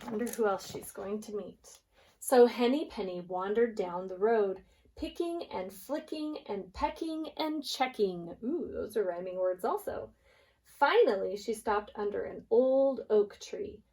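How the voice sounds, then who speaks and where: A woman's voice: mid-range voice, animated, measured pace, a little mellow, poor audio. A woman preschool teacher reading a storybook aloud for young children, explaining things expressively as she goes.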